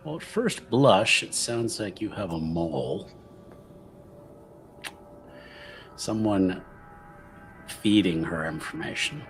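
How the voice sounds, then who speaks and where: A man plays a wary, shrewd underworld figure in a roleplaying game. His voice is low and lively in pitch, with blunt lines delivered slowly and deliberately. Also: soft background music. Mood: shrewd, skeptical.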